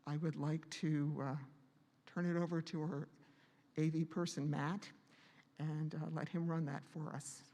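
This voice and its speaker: Brief: male meeting host, voice strained from a vocal cord node, flat and gravelly, halting with fillers, long hall reverb. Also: fairly high pitch. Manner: halting, with many pauses and fillers. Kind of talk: hosting a church meeting.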